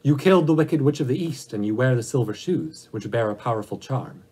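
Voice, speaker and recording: Man as imperious giant wizard head, loud, husky. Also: mid-range voice, lively intonation, brisk, pitched above the performer's own voice. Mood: imperious demand. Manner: imperious, declamatory, demanding. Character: giant disembodied wizard head, great, terrible, stern and commanding.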